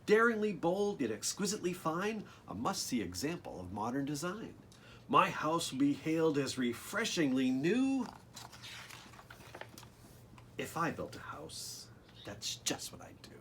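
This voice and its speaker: A man's voice: fairly high pitch, big pitch swings, moderate pace, a bit bright, slight background noise. A man reading a children's picture book aloud expressively, with casual asides addressed straight to young listeners. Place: outdoors.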